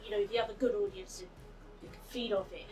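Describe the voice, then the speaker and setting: A woman chatting casually in an interview, with a playful, expressive way of talking. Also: mid-range voice, fast talker. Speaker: cast member being interviewed. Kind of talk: casual chat. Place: outdoors on a sea front. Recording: distant mic outdoors, faint music behind.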